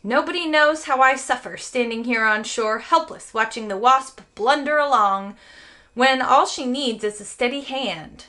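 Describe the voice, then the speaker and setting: A woman voicing an excitable grown man who owns a toy sailboat in a storybook, emphatic and dramatic. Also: high voice, unhurried. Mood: fervent.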